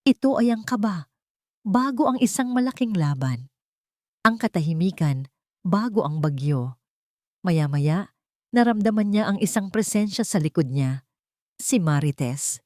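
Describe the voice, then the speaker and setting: A woman tells a romantic drama as a scripted narrator. Her voice sits a little low but moves with great animation. The sound is close and dry. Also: darkish tone, no echo. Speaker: narrator of love stories.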